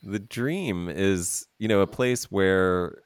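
A man's voice: sits low, slow pace, clear, resonant tone, dry recording, studio quality. A man hosting an interview podcast, chatting casually, teasing his guest and laughing often. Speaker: podcast host.

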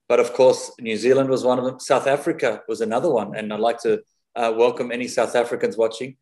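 A man with an Australian accent hosts a conversational interview. His voice is medium in pitch. He speaks as a host putting questions to a guest. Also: darkish tone.

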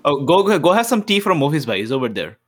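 A man's voice: a little high, big pitch swings, fast, voice pushed up. A man playing a cheeky, talkative young sword-school student who speaks politely to elders in a tabletop game. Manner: chatty, deferential yet cheeky.

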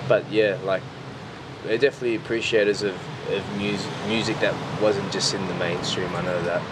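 A man's voice: lowish voice, steady tone, normal speed, background noise. A man in his early thirties with an Australian accent, chatting casually and in a rambling way as an interview guest. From Newcastle, Australia. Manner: informal, rambling, frequent "like" and "you know".

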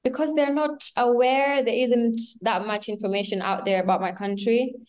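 A woman's voice: on the high side, fairly even intonation, moderate pace, darkish tone. A woman in her early twenties with a Tanzanian accent, giving casual answers as an interview guest. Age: early 20s. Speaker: interview guest, tour company owner.

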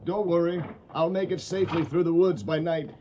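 An actor playing a gentle, idealistic young Yankee soldier in a radio drama, soft-spoken and earnest. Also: male voice, on the high side, fairly even intonation, a little fast, lightly acted. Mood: impassioned, resolute. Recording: muffled radio recording, background noise.

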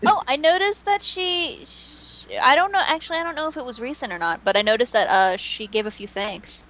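A woman's voice: high voice, brisk, fairly bright tone, dull audio. Joking woman in casual podcast chat. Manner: joking, playfully dramatic. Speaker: podcast co-host.

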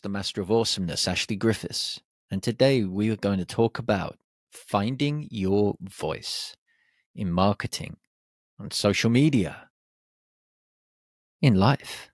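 A man hosting his own podcast, talking alone in a lively, animated way with plenty of exclamations. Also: fairly low pitch, dry recording, clean studio sound. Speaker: podcast host. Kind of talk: solo podcast monologue. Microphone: podcast microphone.

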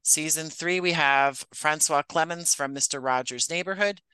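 A man's voice: fairly high pitch, normal speed, sharp tone, clear, resonant tone, dry recording. A man speaking as an interview guest, explaining his work in an articulate, conversational and self-deprecating way. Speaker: podcast writer-producer and actor, interview guest.

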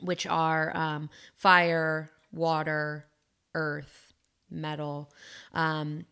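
Chatty woman in her thirties, lowish voice. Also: completely flat delivery, very slow, fairly bright tone, clean tone. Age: 30s. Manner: musing, frequent fillers and self-corrections. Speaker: podcast co-host, acupuncturist. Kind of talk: casual chat.

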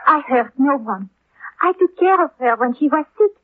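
A woman's voice: high-pitched, expressive, normal speed, dry recording. A woman playing a defensive, self-pitying European swindler in a 1950s radio drama, with formal, careful phrasing. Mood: pleading, defensive. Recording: thin, narrow-band vintage 1950s radio.

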